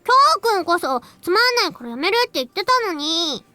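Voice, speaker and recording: A woman's voice: extremely high pitch, sing-song, very fast, sharp tone, dry recording, exaggerated voice. A woman voicing a cute, prissy, teasing little girl in an anime-style game, childlike and cutesy in manner. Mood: indignant. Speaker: visual novel voice actor.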